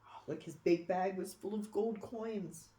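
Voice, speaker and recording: Expressive read-aloud storyteller, soft warm tone, unhurried, cooing asides, roomy echo. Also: mid-range voice. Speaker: storybook reader. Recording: echoey room.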